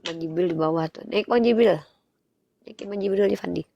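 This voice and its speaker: A woman's voice: medium pitch, brisk, gravelly, dull audio, noisy background. A woman, speaking didactically in a live online religious debate and putting rhetorical questions to listeners. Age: 30s.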